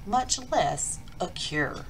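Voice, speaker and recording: A woman hosting storytime, reading aloud to children in an expressive, emphatic picture-book style. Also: lowish voice, extremely slow, vocal fry, a little hiss or hum, poor audio. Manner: emphatic, addressing children directly. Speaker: storytime host, school reader.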